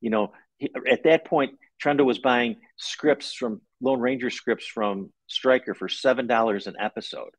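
A middle-aged man speaks as an interviewed author, laying out a story step by step. His delivery is measured and conversational, with some halting moments. He is on a video call from home, with very little room echo. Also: medium pitch.

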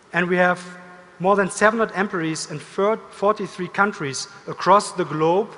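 The middle-aged man you would hear on a big conference stage, delivering the company keynote deliberately in a fairly high voice that rings through the hall. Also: fairly bright tone. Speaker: company executive, keynote speaker. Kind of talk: corporate keynote presentation. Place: large indoor conference stage. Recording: reverberant hall, stage amplification.

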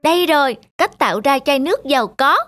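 High-pitched little monkey boy. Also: lively intonation, fairly quick, a bit bright, no room sound. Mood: mischievous, excited. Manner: childlike, earnest, polite. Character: eager naive little monkey boy apprentice. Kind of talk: dubbed children's moral cartoon. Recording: music behind the dialogue.

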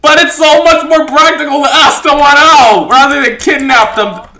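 A man's voice: very high voice, fairly even intonation, normal speed, bright, forceful tone. A young man giving casual, joking reaction commentary as a video host in a small home room. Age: young adult. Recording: echoey room sound.

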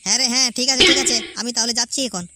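A man's voice: very high voice, rapid-fire. A man voicing a brash, bossy village man in an amateur comedy cartoon, giving emphatic orders. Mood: bossy alarm. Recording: very noisy amateur cartoon audio.

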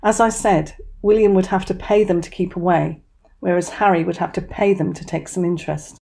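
A woman's voice: lowish voice, fairly even intonation, fairly quick, vocal fry, dark tone. A woman giving opinion commentary as a voice-over. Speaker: commentary channel host.

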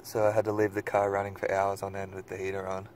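A man's voice: low voice, completely flat delivery, fast, darkish tone, slight background noise. A young man, chatting casually to a handheld camera on a desert road trip, laid-back and jokey. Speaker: travel vlogger. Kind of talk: casual travel vlog chat. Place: outdoors in desert, car and motel room. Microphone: handheld camera at arm's length.

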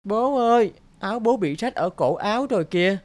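A woman's voice: mid-range voice, animated, normal speed, darkish tone, a little hiss or hum, natural voice. A woman playing a sweet, devoted little girl in a cartoon, with a pleading, childlike manner. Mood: sweet, eager. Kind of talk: cartoon dialogue acting.

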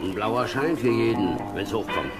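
An actor playing a sly, genial tavern keeper with a coaxing manner in an audio drama. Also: male voice, lowish voice, expressive, normal speed, loud music behind the voice, natural voice. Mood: conspiratorial, coaxing. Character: sly, genial male tavern keeper plotting shady deals. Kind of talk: German children's audio drama acting.

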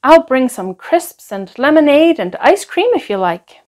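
A woman's voice: high-pitched, big pitch swings, measured pace, darkish tone, voice pushed up. A woman voicing an easygoing, obliging female friend in a storybook. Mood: agreeable.

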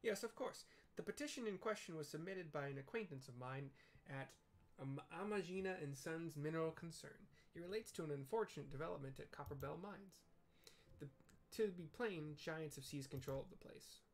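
A man's voice: fairly high pitch, moderate pace, voice pushed up, lightly acted. Guy doing a polite old little fantasy gent, formal old-timey wording, raised voice, music behind. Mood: grave, explanatory. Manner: formal, archaic, effusive courtesy. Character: courtly old male tiny-folk former royal guard.

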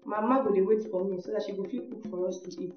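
A woman's voice: medium pitch, steady tone, racing, lightly acted. A woman with a Nigerian accent playing a young village woman in a Pidgin radio drama. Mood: hurried. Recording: muffled, roomy, music behind.

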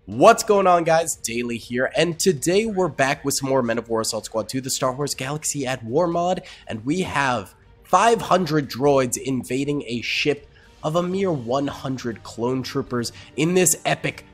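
A man's voice: medium pitch, lively intonation, measured pace, soft background music. A young man, sick and with a partly lost voice, giving casual upbeat commentary over a strategy game as the channel host. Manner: upbeat, chatty, talks to viewers.

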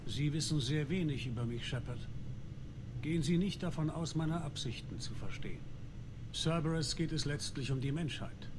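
A man playing a calculating leader of a shadowy organisation, measured, formal and aloof, in a video game. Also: a little high, background noise, close to an everyday voice. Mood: cold control. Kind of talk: dramatic video game acting.

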